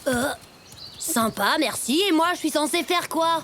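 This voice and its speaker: Slow, high young animal girl. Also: over loud music. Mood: slightly put out. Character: young female animal friend, slightly put out. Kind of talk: French animated series dub acting.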